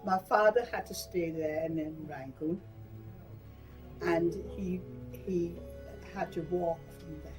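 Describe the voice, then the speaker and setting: A woman's voice: fairly low pitch, steady tone, slow, over music, rough recording. An older woman from Burma giving a personal reminiscence in a plain-spoken, emphatic way. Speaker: interviewee recalling wartime life.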